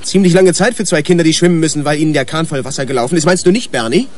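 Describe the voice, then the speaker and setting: An actor playing an anxious, sharp-tongued man in a German radio crime drama, speaking urgently. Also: fairly high pitch, rapid-fire, natural voice. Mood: bitter, accusing. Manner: urgent, agitated.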